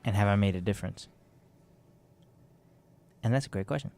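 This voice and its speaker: A man's voice: fairly low pitch, moderate pace, creaky, darkish tone. Teasing young man hosting a radio talk show. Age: 20s. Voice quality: teasing, loose and rambling.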